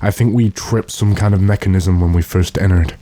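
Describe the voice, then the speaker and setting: A man playing an earnest, determined gentleman who gives commands dramatically, in the manner of an old radio drama. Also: low voice, steady tone, a little fast, natural voice. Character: urgent, determined gentleman trapped with his manservant.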